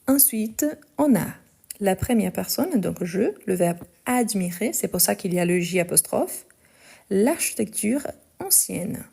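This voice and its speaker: Slow gravelly woman teaching French. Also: mid-range voice, expressive, mellow. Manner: slow, careful, repeats and spells out verb endings. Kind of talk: live online conjugation lesson.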